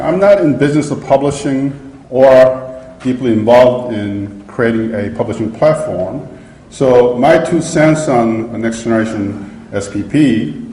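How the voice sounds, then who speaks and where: A man gives a seminar presentation in a mellow, relaxed voice that carries through a roomy hall. He speaks in a halting way, with frequent fillers and restarts as he works through his points. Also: medium pitch, a little hiss or hum. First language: English. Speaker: academic conference speaker. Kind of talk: conference presentation. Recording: echoey lecture hall.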